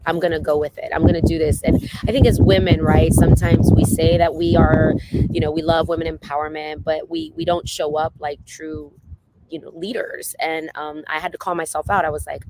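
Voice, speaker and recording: A man's voice: a little high, a little mellow, rough recording. An effusive, heartfelt man speaks in a slightly raised voice, stringing his thoughts together with "you know". Faint hiss sits under the audio. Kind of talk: motivational talk.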